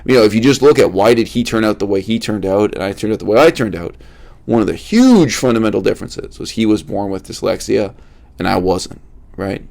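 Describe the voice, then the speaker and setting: A man's voice: lowish voice, lively intonation, a little fast, creaky, darkish tone. A man hosting his own channel, talking straight to his viewers in an unscripted monologue, stressing points by repeating words and asking rhetorical questions. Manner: addresses viewers directly, asks rhetorical questions. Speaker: channel host. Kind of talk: unscripted philosophical monologue to camera.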